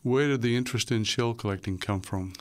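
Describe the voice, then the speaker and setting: A man's voice: medium pitch, brisk. A man hosting a radio interview, asking brief questions to prompt his guest.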